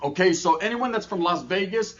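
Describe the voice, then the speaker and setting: A man's voice: high-pitched, lively intonation, fairly quick. A man presenting a commentary video in a playful, crude way, dropping Spanish words into his talk. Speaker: YouTube commentator. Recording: muffled clip played on stream.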